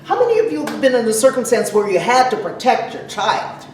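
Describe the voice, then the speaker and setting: A woman preaching a sermon to a congregation in a conversational way, putting questions to her listeners and pausing for emphasis. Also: a little high, big pitch swings. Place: large echoey church room. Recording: very echoey, distant mic, some noise.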